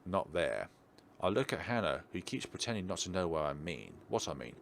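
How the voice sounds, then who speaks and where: Lively, low-voiced man narrating a game. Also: a little fast, gravelly, a little hiss or hum. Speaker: let's player reading aloud. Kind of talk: expressive read-aloud narration of a visual novel. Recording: game music behind the voice.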